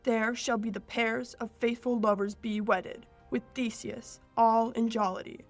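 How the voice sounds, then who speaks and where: A young woman playing a proud fairy king, reciting Shakespearean verse in a measured, declamatory way for a radio play. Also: on the high side, fairly even intonation, faint music behind. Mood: stately. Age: teens or young adult.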